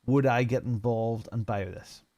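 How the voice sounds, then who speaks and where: A man's voice: medium pitch, fairly even intonation, measured pace, warm tone. A man in his fifties with a Northern Irish accent, giving casual, unscripted first impressions of a racing game in a chatty, informal way. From Northern Ireland. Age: 50s. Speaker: sim racing streamer and community head.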